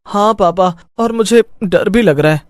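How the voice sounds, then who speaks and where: A man's voice: high voice, measured pace, no room sound, studio quality. A man voicing a young boy, a childlike and eager son in a cartoon story. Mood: subdued, sincere. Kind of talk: cartoon moral story acting.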